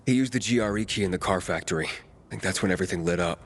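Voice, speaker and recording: A man's voice: low voice, quick pace, slight background noise. An actor playing a young male wanderer new to the city, questioning and wry, in a game cutscene. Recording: loud game music behind.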